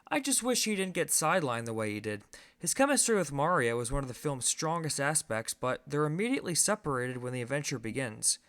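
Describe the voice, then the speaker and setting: A man's voice: mid-range voice, sing-song, brisk, fairly bright tone. A man giving a scripted film review as host, conversational and opinionated, with emphatic stresses.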